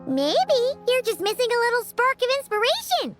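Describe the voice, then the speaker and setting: A woman voicing a tiny, chirpy, excitable childlike fairy companion in a video game. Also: extremely high pitch, moderate pace, exaggerated voice. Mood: cheerful, helpful. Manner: refers to self in third person, excitable. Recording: loud background music. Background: music.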